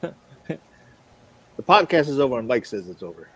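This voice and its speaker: A man's voice: mid-range voice, big pitch swings, moderate pace. A man joking casually as a panelist on a podcast. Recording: clearly noisy group recording.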